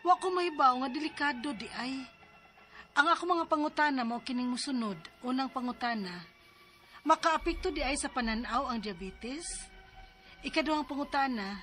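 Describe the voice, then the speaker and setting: A woman's voice: sits high, fairly bright tone. A woman playing herself in a radio drama, then reading her worried letter asking for advice. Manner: worried, pleading. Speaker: letter sender and drama lead.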